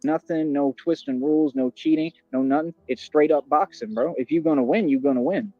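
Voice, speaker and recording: Brief: man, bragging and challenging, fairly high voice, lo-fi dry stream clip. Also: expressive, fairly quick, gravelly, dry recording, faint music behind. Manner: boastful, confrontational, repetitive slang. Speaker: livestreamer. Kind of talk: boastful livestream rant. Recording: dull, dry lo-fi stream audio.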